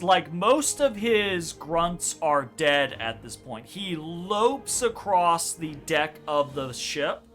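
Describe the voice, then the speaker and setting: A man running a tabletop game as game master, casual and animated, swearing freely and trailing off with filler words. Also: high voice. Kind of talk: narrating a tabletop game online.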